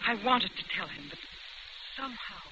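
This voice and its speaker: An actress playing a nervous, troubled young woman passenger in 1940s radio suspense style, with halting, dramatic delivery. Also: a little high, animated, very noisy, lightly acted. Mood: uneasy, halting. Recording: muffled old radio broadcast, loud music behind.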